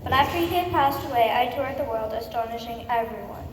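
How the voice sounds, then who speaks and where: A woman's voice: fairly high pitch, expressive, fairly quick, natural voice. A young girl of about eleven playing a proud, eager composer in a scripted school play. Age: child, about 11 or 12. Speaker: student performer in readers theater. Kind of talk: scripted stage recitation. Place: school performance in a large echoey room. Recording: echoey hall, far mic, clearly noisy.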